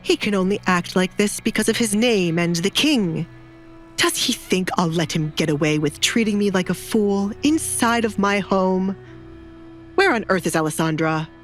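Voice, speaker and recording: A woman's voice: medium pitch, expressive, normal speed, natural voice. A resentful baron and father acted by a woman in an audio drama. He sounds thin-skinned, nervous and indignant, a blustering older man with music playing behind him. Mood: resentful muttering. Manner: nervous before superiors, indignant when alone.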